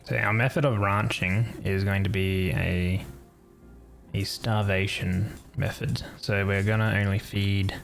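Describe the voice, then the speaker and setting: A man, streaming a game and thinking aloud in a relaxed, calm way. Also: fairly low pitch, measured pace, creaky, faint music behind. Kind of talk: casual gameplay commentary.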